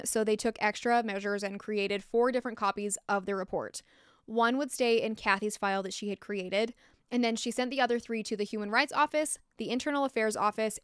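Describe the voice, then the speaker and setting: A woman's voice: medium pitch, clean tone, no echo. A young American woman narrating a scripted true-crime story in a frank, opinionated and informal way, close to a desktop microphone. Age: young adult. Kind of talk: scripted narration with candid asides. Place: small log-walled room indoors.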